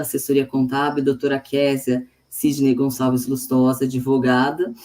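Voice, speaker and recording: A woman's voice: low voice, warm tone. A woman hosts a live online talk over a video call. She speaks in a friendly, conversational way, with easy fillers between introductions. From São Paulo state, Brazil. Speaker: host of a medical livestream.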